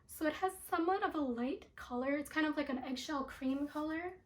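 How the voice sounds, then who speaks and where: A young woman talks through a skincare haul in a casual vlog, filling her sentences with ums and likes. Her voice sits high and is a little raspy from allergies. The sound bounces around an echoey room. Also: a little fast. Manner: chatty with many ums and likes. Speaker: beauty product reviewer. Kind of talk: casual product review vlog.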